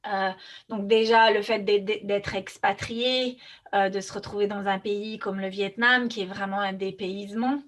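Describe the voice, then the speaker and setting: A woman's voice: mid-range voice, steady tone, measured pace. A woman hosting a podcast in her own language, speaking casually with many fillers and restarts. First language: French. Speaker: podcast host. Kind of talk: casual conversational interview.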